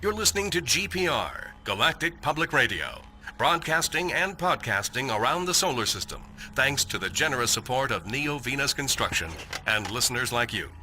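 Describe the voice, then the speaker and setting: Bright, punchy male radio news anchor. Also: medium pitch, sing-song, moderate pace, slight background noise, exaggerated voice. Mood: polished, brisk. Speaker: radio host. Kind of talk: scripted radio news broadcast intro.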